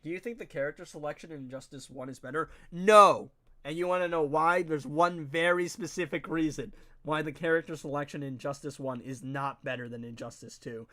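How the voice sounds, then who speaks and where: A man's voice: fairly high pitch, brisk, sharp tone. A young man with a nasal, congested voice, chatting casually to viewers while he streams a game and talking straight to his chat in slang. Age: young adult. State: stuffy, congested nose. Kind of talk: casual live-stream gameplay commentary.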